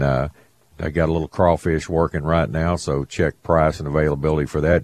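Deep-voiced laid-back radio host. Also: male voice, darkish tone. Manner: laid-back, folksy, laughs easily. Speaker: radio fishing show host. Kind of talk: casual radio chat and read ads.

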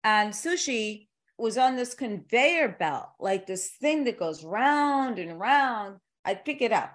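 Higher-pitched chatty woman interviewer. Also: expressive, takes it slowly, a bit bright. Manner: informal, rambling, joking. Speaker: interviewer, podcast host.

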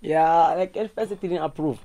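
A man's voice: on the high side, normal speed. A nineteen-year-old man in a radio studio, speaking casually and often adding you see. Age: teens. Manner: relaxed, ends phrases with "you see".

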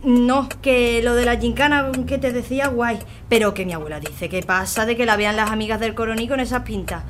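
An actress playing a chatty, nervy young student learner driver with slangy speech, in a naturalistic audio drama. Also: female voice, fairly high pitch, brisk, few pauses, a little hiss or hum, close to an everyday voice. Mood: chatty, flustered. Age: young adult.

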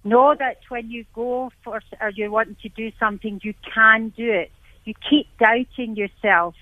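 A woman's voice: a little high, fairly even intonation, normal speed, no room sound. A woman working as a psychic medium, giving readings to callers over the phone in a motherly way. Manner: motherly, calls callers dear. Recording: tinny phone line.

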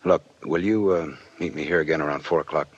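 An actor playing a hard-boiled, wry but decent American private detective in a 1940s radio drama, terse and slangy. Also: male voice, very deep voice, fast talker, breathy edge. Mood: gentle, guarded. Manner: terse, wry, slangy tough-guy delivery. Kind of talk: 1940s radio noir acting and narration. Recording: dull vintage 1940s radio recording, organ-like music behind.

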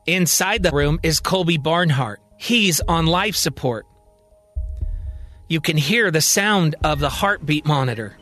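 A male storyteller delivers dramatic scripted narration for a science-fiction serial. His voice is high for a man, and music plays faintly behind him. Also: animated, measured pace, fairly bright tone. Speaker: storyteller, podcast host.

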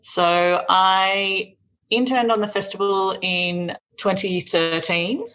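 An Australian woman in her thirties, a theatre director, talking casually and quickly in an interview with chatty asides. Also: medium pitch, fairly even intonation, fairly bright tone, rough recording. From regional New South Wales, Australia.

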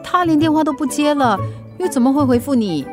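An actress playing a worried, proud mother in a radio drama, insistent and quick to get defensive. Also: sits high, expressive, music in the background. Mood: concerned, insistent. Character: worried, proud mother hiding her concern. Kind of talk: Mandarin radio drama acting.

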